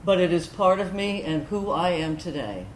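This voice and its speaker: A woman's voice: fairly low pitch, animated, normal speed, a little hiss or hum, natural voice. A woman giving a scripted staged reading as a veteran reflecting on secret service. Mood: earnest. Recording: echoey room.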